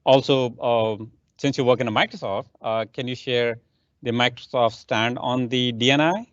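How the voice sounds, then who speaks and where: A man's voice: mid-range voice, steady tone, moderate pace, no echo. A man hosting an online panel, inviting a guest and asking questions, with brief encouraging interjections. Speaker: session host. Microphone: video call. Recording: dry, muffled online call.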